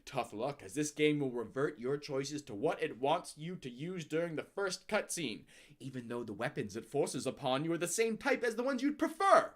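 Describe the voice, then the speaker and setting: A man's voice: a little high, sing-song, moderate pace. A man giving a dramatic, grandiose reading of scripted text, with emphatic swells and drops in his delivery. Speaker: narrator reading out a user review.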